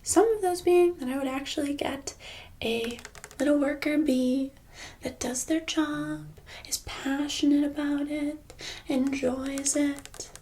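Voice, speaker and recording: A woman playing a smug, controlling boss in a soft-spoken ASMR roleplay, with condescending, mock-sweet, drawn-out delivery. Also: high-pitched, steady tone, slight background noise, pitched above the performer's own voice. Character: smug, controlling female boss holding an employee captive.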